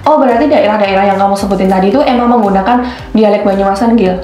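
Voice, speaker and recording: A woman's voice: mid-range voice, quick pace, mellow, reverberant. A young woman with a Solo-Yogya Javanese accent, co-hosting an educational podcast and asking curious follow-up questions. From Central Java. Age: young adult. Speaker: podcast co-host, intern student. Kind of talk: scripted educational chat.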